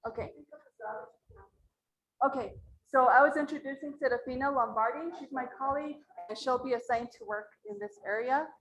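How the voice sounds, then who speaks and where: A woman from Mora, New Mexico, with a low voice, speaking earnestly and plainly as an acequia representative at a community meeting in a library meeting room. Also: normal speed, a bit bright, a little hiss or hum, poor audio.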